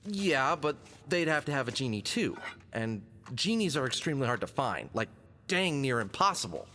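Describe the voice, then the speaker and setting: A man's voice: mid-range voice, very animated, normal speed, bright, forceful tone, slight background noise. Man acting a male fox hero, swooping intonation, wisecracking, self-assured, audio drama, echoing cave-like reverb. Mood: frustrated, doubtful. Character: male fox adventurer, confident, wisecracking, stubborn. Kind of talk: scripted audio drama dialogue. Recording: long hall-like reverb.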